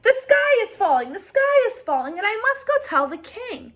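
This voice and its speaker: A woman's voice: very high voice, big pitch swings, moderate pace, fairly bright tone, pitched above the performer's own voice, full character voice. A woman voicing a small, anxious, insistent hen from a children's storybook, fretful and full of exclamations. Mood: urgent, insistent.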